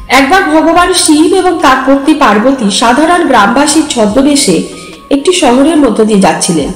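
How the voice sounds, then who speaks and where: A woman storyteller and channel host reading a scripted story aloud. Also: sits high. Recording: echoey, long reverb, music underneath. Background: music.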